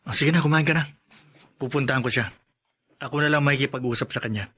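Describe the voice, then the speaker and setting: An actor playing a concerned, respectful nephew in a radio drama, earnest and polite. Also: male voice, medium pitch, fast talker, a bit bright, breathy edge. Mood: calm concern. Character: concerned, respectful nephew looking for his aunt. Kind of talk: Filipino radio drama acting.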